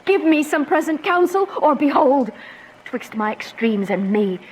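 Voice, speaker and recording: Woman playing young noblewoman, very high voice, impassioned, desperate, formal verse, tragic radio drama. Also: very animated, a little slow, a little hiss or hum, poor audio. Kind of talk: theatrical Shakespearean radio drama acting.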